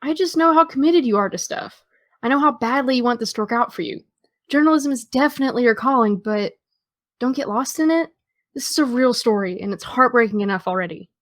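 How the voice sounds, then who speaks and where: A woman's voice: a little high, lively intonation, brisk, dry recording, close to an everyday voice. A young American woman playing a guarded, dryly witty teenage girl in understated, naturalistic audio drama dialogue. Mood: guarded, concerned.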